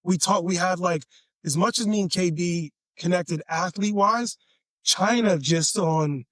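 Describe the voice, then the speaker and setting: A man from Chicago with a raspy voice, a podcast guest telling stories in a laid-back, playful way and often repeating his phrases. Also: high voice, unhurried, fairly bright tone, no echo. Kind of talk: casual storytelling chat.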